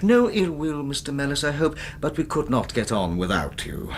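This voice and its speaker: A man's voice: on the high side, big pitch swings, moderate pace, slight background noise. An actor playing a mean villain in a radio drama, falsely polite and menacing, with a nervous laugh in his speech. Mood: falsely genial menace. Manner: oily politeness with veiled threats.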